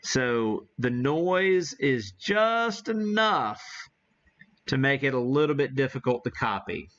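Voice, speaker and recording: Man, lowish voice, unhurried and halting, casual livestream host thinking aloud, muffled lo-fi sound. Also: very animated, a bit bright. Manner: halting, thinking aloud while operating equipment. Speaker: amateur radio streamer and host. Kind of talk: casual live demo commentary.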